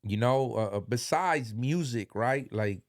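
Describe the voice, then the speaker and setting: A man's voice: medium pitch, animated, measured pace, no room sound, clean studio sound. A middle-aged man hosting a podcast in a loose, rambling way, congested and full of slang and fillers. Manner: rambling, filler-heavy, laid-back slang. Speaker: podcast host. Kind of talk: casual chat.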